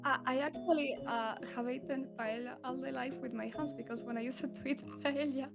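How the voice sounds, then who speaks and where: A woman's voice: sits high, fairly even intonation, fairly quick, creaky. Giggly woman, music behind. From Argentina. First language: Spanish. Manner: giggly, chatty, laughs often. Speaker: guest. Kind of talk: casual stream chat.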